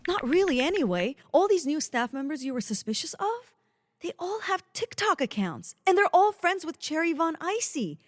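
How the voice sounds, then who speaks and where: Woman playing an animated, exasperated young rebel. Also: very high-pitched, moderate pace, no room sound, studio quality. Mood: wry. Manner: sarcastic, emphatic. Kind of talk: comic audio drama acting.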